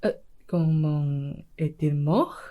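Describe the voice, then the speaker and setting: A woman's voice: low voice, takes it slowly, mellow. A woman playing a bungling male thief posing as a Spanish duke in a student radio play, hesitant and stammering, then effusive and bossy. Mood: flustered.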